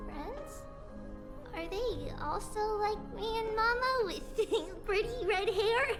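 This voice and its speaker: Game role: innocent small girl, tiny high pitch, unhurried, earnest, music behind. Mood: eager. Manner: earnest, childlike, simple wide-eyed storytelling. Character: innocent, chatty little girl, young priestess-to-be. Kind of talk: voiced game cutscene dialogue. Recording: game audio under clear music.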